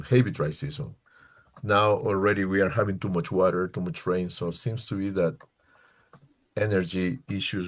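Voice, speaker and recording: A man from Ecuador with an Ecuadorian Spanish accent, giving an informal online slide talk in halting non-native English as a tour leader. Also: low-pitched. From far south Ecuador. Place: indoors on a video call.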